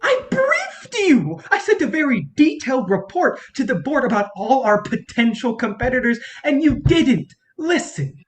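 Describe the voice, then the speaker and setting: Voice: sits high, sing-song, moderate pace, hardly any pauses. An actor in a staged play reading playing an exasperated, embittered film campaign strategist, profane and sarcastic in manner. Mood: angry, indignant.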